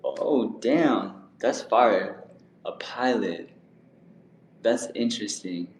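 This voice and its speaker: Chill slangy guy hosting a chat, lowish voice, echoey room. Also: lively intonation, extremely slow, a little hiss or hum. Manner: laid-back, slangy, lots of fillers. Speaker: podcast host. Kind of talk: casual interview chat. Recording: roomy echo.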